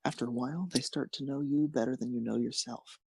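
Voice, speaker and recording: A man's voice: medium pitch, steady tone, fast, creaky, a little mellow, breathy edge, dry recording. A man reading scripted fan fiction narration as the narrator of an amateur story.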